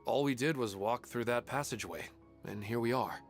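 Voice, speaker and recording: A man's voice: mid-range voice, a little fast, soft background music, lightly acted. A man voicing an easygoing, puzzled character in a video game, speaking casually.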